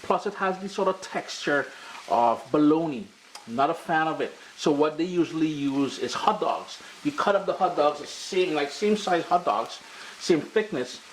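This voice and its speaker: A man's voice: a little high, expressive, normal speed, slight background noise, poor audio. A middle-aged man with a Caribbean accent hosting a casual cooking video in his kitchen. His nose is stuffy from allergies, and his talk is chatty and slangy. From Caribbean island. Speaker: cooking show host. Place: home kitchen.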